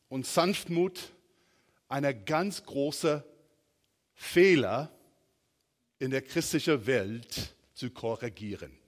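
A middle-aged American man, a native English speaker with an American accent, preaches in a large, echoing hall. His voice sits a little high and swings animatedly up and down. He speaks slowly and haltingly, in an informal way, feeling for his words. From southern and western United States. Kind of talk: sermon. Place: large reverberant room, preaching to a congregation. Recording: reverberant hall.

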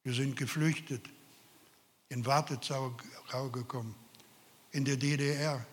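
A man's voice: medium pitch, fairly even intonation, a little slow, in a large hall. An older man preaching a sermon, earnest and emphatic, speaking directly to his listeners. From Carpathian Ukraine.

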